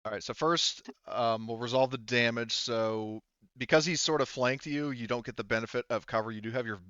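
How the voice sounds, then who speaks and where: A man refereeing a casual tabletop game as game master, halting and thinking aloud as he checks rules. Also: medium pitch, steady tone, fairly bright tone, smooth, no echo, highs cut off.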